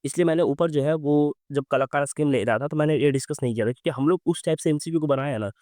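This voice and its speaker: A young man from Bihar, teaching exam quiz questions in with a sore, hoarse throat, reading English questions slowly and explaining with many fillers. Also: on the high side, darkish tone, dry recording, studio quality.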